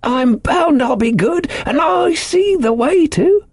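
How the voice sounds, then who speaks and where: A half-crazed castaway sailor from a storybook. The voice is pitched very high and swings wildly, drawling slowly through wheedling, rambling seafaring dialect, by turns sly and childishly pious. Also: male voice, lively intonation, darkish tone, no echo, studio quality, heavily acted. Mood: confiding, rambling. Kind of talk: audiobook character voice.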